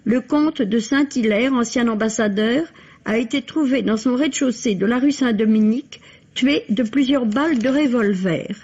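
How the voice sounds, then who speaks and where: A woman's voice: on the high side, normal speed, darkish tone, husky. A woman reading a scripted literary review on the radio, with formal, elegant phrasing. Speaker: book reviewer. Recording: dull, lo-fi vintage radio recording.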